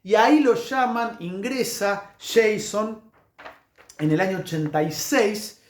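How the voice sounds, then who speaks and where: An Argentine man with a fairly high voice speaks in an echoey room. He chats informally like a music vlogger, haltingly, wandering off track and correcting himself as he goes. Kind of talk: casual informal music history talk to camera.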